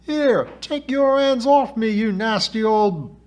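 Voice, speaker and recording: A man's voice: high-pitched, animated, moderate pace, pitched above the performer's own voice. A man voicing a scrawny, brash twelve-year-old fake spirit girl with a whiny, hollow voice that drops its aitches, in a storybook reading. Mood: shrill whining. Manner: brash, spitting retorts, dropped aitches.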